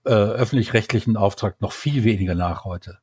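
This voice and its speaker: A man's voice: lowish voice, fairly quick, darkish tone, breathy edge, dry recording, clean studio sound. A man co-hosting a podcast, arguing his opinions at length in a casual talk. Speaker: podcast co-host.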